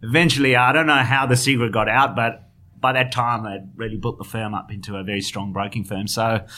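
Man, South African accent, middle-aged, bright forceful tone, hesitant, lots of fillers. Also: medium pitch, steady tone, brisk. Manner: hesitant, many restarts and fillers. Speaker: guest, finance journalist. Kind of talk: conversational interview.

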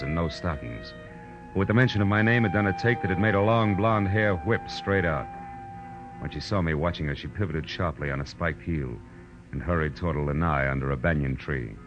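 Very low-voiced American private eye, hard-boiled, wry, clipped, world-weary, old radio drama, muffled recording with music behind. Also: male voice. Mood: watchful. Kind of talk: 1940s radio detective acting, first-person narration.

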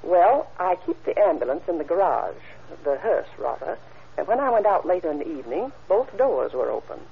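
A woman's voice: on the low side, big pitch swings, a little fast, gravelly. Obliging woman, radio drama witness, very varied intonation, careful phrasing, hissy old recording. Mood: helpful, careful. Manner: careful, matter-of-fact, helpful. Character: observant, obliging female mortician giving witness testimony. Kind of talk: old-time radio crime drama acting. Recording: muffled old radio recording, background hiss.

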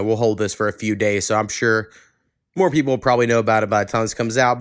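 A man's voice: medium pitch, a bit bright, dry recording, clean studio sound. An American man hosting a podcast in casual chat, with an informal, rambling, laid-back manner. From Oklahoma, United States. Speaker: podcast host.

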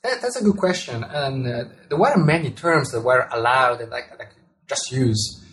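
A man's voice: mid-range voice, expressive, normal speed, fairly bright tone. A man with an Italian accent gives thoughtful interview answers, often repeating words as he searches for the right phrasing. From Italy. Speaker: author and astronomer being interviewed.

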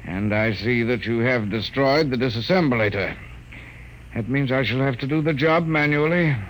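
A slightly noisy old radio recording carries a man acting as an elderly male official from the future. He is formal, precise and wearily fussy, in a medium-pitched voice. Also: moderate pace, close to an everyday voice. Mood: coolly officious. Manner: precise, formal, weary bureaucrat. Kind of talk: 1950s radio drama acting.

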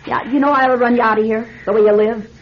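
A woman's voice: fairly high pitch, steady tone, racing, close to an everyday voice. An actress playing a blunt, exasperated, nagging landlady in a radio drama. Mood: exasperated scolding. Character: brusque, scolding landlady with a soft heart. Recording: dull, noisy old radio recording.

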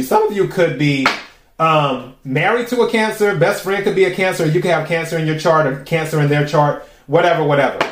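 A man with a raspy voice hosting a podcast, giving a casual, chatty tarot reading and musing aloud with drawn-out pauses. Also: on the high side, slight background noise. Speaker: tarot reader and podcast host. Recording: roomy echo.

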